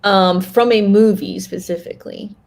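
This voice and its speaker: A woman's voice: mid-range voice, steady tone, normal speed, vocal fry, a little mellow. Woman thinking aloud in casual chat. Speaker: podcast co-host. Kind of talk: casual chat about fictional universes.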